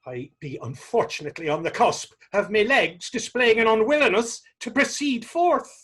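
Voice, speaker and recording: Actor as a naive young Irishman, swooping husky voice. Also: high voice, expressive, moderate pace, a bit bright, full character voice. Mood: eager, smitten. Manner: rambling, guileless old-fashioned dialect speech. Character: naive, earnest, smitten young Irish fellow. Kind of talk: readers theater stage acting.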